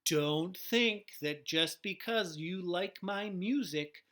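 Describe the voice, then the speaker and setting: A woman voicing an earnest, excitable young boy who owns a pet fish, in an expressive picture-book reading. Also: fairly low pitch, slow. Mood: firm.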